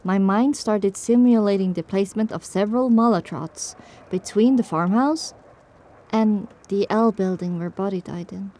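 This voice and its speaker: A woman's voice: mid-range voice, moderate pace, mellow. A woman narrating an audio drama in the first person with a dramatic, scripted delivery.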